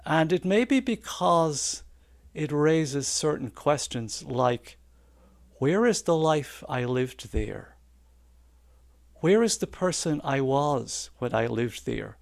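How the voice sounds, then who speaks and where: An older man giving a reflective Dharma talk as a Zen teacher, halting and contemplative with thoughtful pauses. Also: a little high, lively intonation, vocal fry, no room sound. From Ireland. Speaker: Zen senior assistant teacher.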